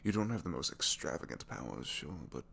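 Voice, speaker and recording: A man playing a captured supervillain in an intimate ASMR roleplay, a gentle, bookish dreamer who speaks softly and hesitantly, often sinking toward a whisper. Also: very deep voice, very animated, a little fast, gravelly, a little hiss or hum, voice pushed down, full character voice. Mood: sincere, fond. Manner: hesitant, soft-spoken, rambling, often dropping to whispers.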